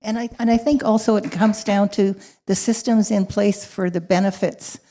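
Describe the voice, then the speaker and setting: A woman moderates a panel in a ballroom, holding a handheld mic. She keeps things moving with friendly, brisk questions in a mid-range voice. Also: mid-range voice, fairly even intonation, warm tone. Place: hotel ballroom stage.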